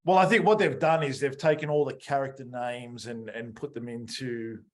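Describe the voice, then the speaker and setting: A man's voice: mid-range voice, fairly even intonation, normal speed. A man hosting an interview in a casual, conversational style. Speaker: podcast interview host.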